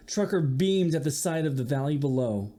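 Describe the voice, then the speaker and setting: A teacher reading a picture book aloud to young listeners, expressively and with chatty asides. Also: mid-range voice. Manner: slow, expressive, with acted-out sound effects. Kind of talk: children's story read-aloud with asides to listeners.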